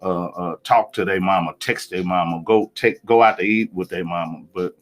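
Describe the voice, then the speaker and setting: A man's voice: deep voice, steady tone, moderate pace. A man, a pastor co-hosting a casual live-stream talk, speaking in a rambling, colloquial way that repeats itself. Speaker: pastor and co-host.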